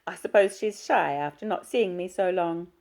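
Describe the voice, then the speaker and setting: A woman reading aloud and voicing a small, bright mother, with a chirpy, gushing manner. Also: fairly low pitch, normal speed, vocal fry, voice pushed up.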